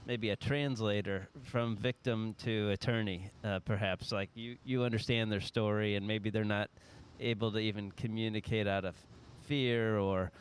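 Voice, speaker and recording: A man in a conversational discussion, phrasing his thoughts in a measured, tentative way. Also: fairly low pitch, steady tone, clear, resonant tone.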